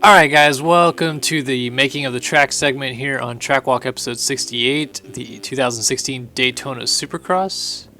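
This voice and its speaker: Hoarse young man, gravelly casual talk. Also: mid-range voice, fairly even intonation, normal speed, hardly any pauses, bright, forceful tone. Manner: casual, chatty, apologetic, upbeat. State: hoarse, raspy from losing his voice. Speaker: host. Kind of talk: casual game track walkthrough commentary.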